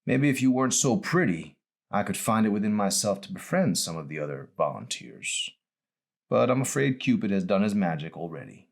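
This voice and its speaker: Man playing an ornery old man, lively pitch. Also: a little fast, gravelly, exaggerated voice. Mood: grumbling, teasing. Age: older. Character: frail, ornery, teasing old man in hospital.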